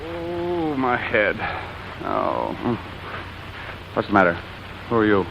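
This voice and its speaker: An actor playing a wry, hungover playboy with a wisecracking, sardonic manner, in 1940s comedy style. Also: male voice, lowish voice, wildly swooping pitch, slow pace, gravelly, exaggerated voice. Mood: groggy. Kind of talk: 1940s comedy film or radio acting. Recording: muffled, noisy vintage 1940s recording.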